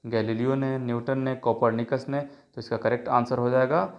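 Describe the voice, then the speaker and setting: A man's voice: mid-range voice, fairly even intonation, normal speed. A man with a sore throat runs through multiple choice exam questions, reading each option aloud before settling on the answer. His voice sits in a middle range. The recording is muffled and lo-fi. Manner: reads options then gives the answer, many filler checks. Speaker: teacher. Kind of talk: quiz-style exam prep lesson.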